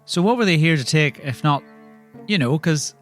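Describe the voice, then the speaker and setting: A man's voice: on the high side, animated, moderate pace, fairly bright tone, soft background music. A man taking part as a player in a tabletop role-playing game, improvising casually in and out of character.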